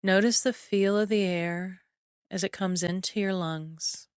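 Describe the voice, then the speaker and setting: A woman narrating a scripted guided relaxation in a soothing, measured way, with short phrases and long pauses. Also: medium pitch, fairly even intonation, clean tone, no echo, clean studio sound. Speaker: guided imagery meditation narrator.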